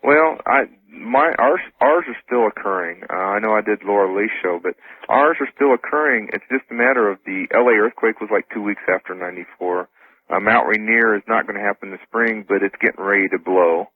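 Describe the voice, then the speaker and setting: A man's voice: on the low side, fairly quick, slightly rough. A man talking casually as a radio guest, rephrasing himself as he goes. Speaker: interview guest. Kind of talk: casual radio interview talk. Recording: roomy, phone-like radio line.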